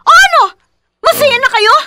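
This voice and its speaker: An actress playing a weary, anguished young adult daughter of a drunkard in a Filipino radio drama, with emotional, dramatic delivery. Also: female voice, extremely high pitch, lively intonation, a little slow, heavy background noise. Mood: anguished outburst.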